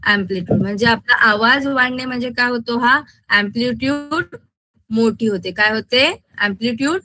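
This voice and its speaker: A woman's voice: a little high, moderate pace, poor audio. A woman school science teacher with a Maharashtrian accent, lecturing interactively with English terms mixed in and often asking check questions as she explains.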